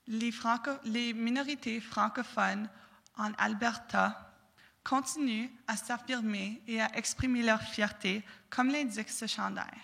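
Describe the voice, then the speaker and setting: A young woman with a southwestern Ontario French accent speaks earnestly in a slightly high voice with a gravelly fry. Her words ring out with a long reverb from a lecture hall. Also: fairly bright tone. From southwestern Ontario, Canada. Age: young adult. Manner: earnest, declamatory with occasional restarts. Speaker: student speaker. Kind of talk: prepared talk on stage.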